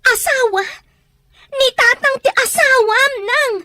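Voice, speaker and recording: A young Ilocano daughter in a radio drama. Her extremely high voice is plaintive and emotional, hurt and pleading, recorded close and dry. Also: slow, pushed and bright, no room sound, full character voice.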